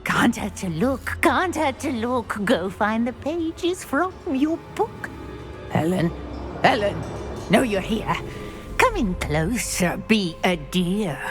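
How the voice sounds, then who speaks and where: A woman's voice: a little high, animated, takes it slowly, music in the background. An actress playing a deranged, frail prisoner woman in a video game, muttering sing-song rhymes and repeating her phrases. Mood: crooning, eerie. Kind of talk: video game character acting.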